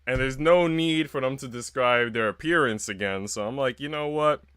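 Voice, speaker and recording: A man's voice: mid-range voice, normal speed, fairly bright tone, clear, resonant tone, no room sound, studio quality. A man livestreaming a game, chatting casually and informally with plenty of slang. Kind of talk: casual livestream chat, reading game narration aloud.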